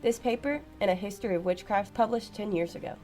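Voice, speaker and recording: A woman acting fretful female secretary, mid-pitched, emphatic, irritable, British phrasing, radio play, soft music behind. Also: quick pace, lightly acted. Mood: suspicious. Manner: emphatic, old-fashioned British turns of phrase. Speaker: actress.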